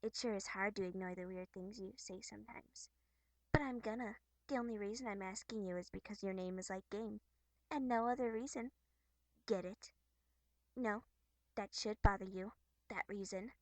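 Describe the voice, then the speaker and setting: A woman's voice: mid-range voice, moderate pace, dry recording, close to an everyday voice. A woman voicing a brash, cocky teenage troll girl who talks in a mocking, sarcastic way.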